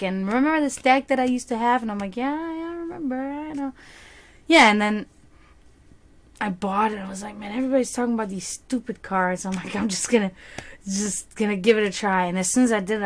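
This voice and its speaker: A woman whose first language is German, chatting casually on a livestream as a psychic card reader, rambling and answering her viewers. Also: medium pitch. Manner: chatty, rambling, reads chat aloud.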